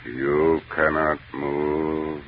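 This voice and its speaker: An extremely deep, gravel-throated alien being speaks with cold contempt and menace. The delivery is very slow and heavy, like a ruler addressing lesser creatures. It comes through a muffled 1940s radio horror recording. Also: male voice, level tone, full character voice. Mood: haughty, commanding. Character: arrogant alien mind from a dying world, menacing.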